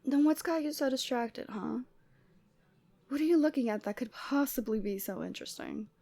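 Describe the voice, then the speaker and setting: A lovestruck Cupid girl acted by a woman talks to her best friend in a fairly high voice. She is conversational and openly emotional, in the style of an audio roleplay. The sound is very dry, with no room around it. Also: normal speed, gravelly, dry recording, heavily acted. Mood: teasing, chatty. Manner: conversational, teasing, emotionally expressive. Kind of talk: ASMR roleplay audio drama, addressing the listener.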